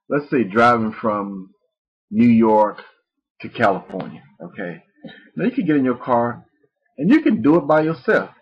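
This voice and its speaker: A man's voice: mid-range voice, breathy edge. A man from Mississippi, presenting a live webinar in a rambling, folksy way with restarts. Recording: muffled lo-fi webinar audio.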